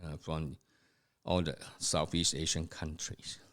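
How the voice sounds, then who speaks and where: An older man from Singapore reminisces about his family's past in a podcast conversation. His voice sits very low and deep, and he talks at an unhurried, slow pace. He pauses often, restarting his sentences and filling the gaps with "uh" as he goes. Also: flat delivery, a bit bright. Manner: slow, halting storytelling with many fillers and restarts. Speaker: podcast guest, host's father. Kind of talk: family history interview, reminiscing.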